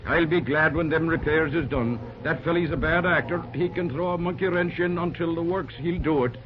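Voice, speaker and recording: A man's voice: a little high, normal speed, close to an everyday voice. An actor playing a plain-spoken Irish railroad man, with a thick Irish accent and a teasing manner, in 1940s radio acting. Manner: Irish turns of phrase, easygoing and teasing. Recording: dull old radio recording with hiss.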